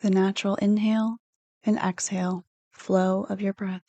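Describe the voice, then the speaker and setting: Soft mellow lady reading a guided meditation, slow n soothing, gentle instructions, pretty flat pitch. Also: dry recording. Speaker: counselor hosting guided meditations. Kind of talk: guided meditation, read from a script.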